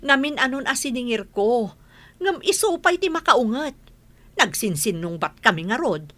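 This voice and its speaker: A woman's voice: fairly high pitch, sing-song, moderate pace, a bit bright. A woman playing an aggrieved village moneylender in an Ilocano radio comedy drama, scolding and emphatic, with an Ilocano accent. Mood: worried complaint.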